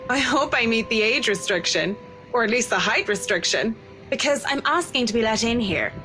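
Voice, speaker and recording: A woman voicing a discreet, quick-witted young working woman in a visual novel, polite and measured with dry wit. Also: on the high side, animated, pushed and bright, a little hiss or hum, low-quality recording. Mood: playful. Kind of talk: visual novel character acting.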